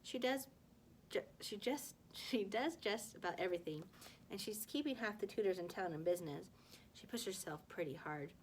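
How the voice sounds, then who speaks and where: A woman's voice: mid-range voice, normal speed, slight background noise, close to an everyday voice. A woman voicing an earnest, friendly middle-school girl in a storybook, eager and a little tentative. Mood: sympathetic.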